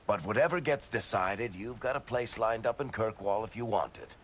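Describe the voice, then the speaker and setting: An actor playing a middle-aged roguish dwarf in a video game, wry and offhand with a gravelly voice. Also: male voice, fairly low pitch, lively intonation, moderate pace, a bit bright, slight background noise. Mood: casual, sincere. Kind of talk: video game dialogue acting.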